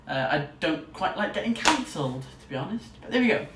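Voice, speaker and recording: Higher-voiced young man in an echoey room. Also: sing-song, brisk. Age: young adult. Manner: chatty, rambling, enthusiastic. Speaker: student vlogger. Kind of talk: casual vlog to camera. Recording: roomy echo, distant mic, faint noise.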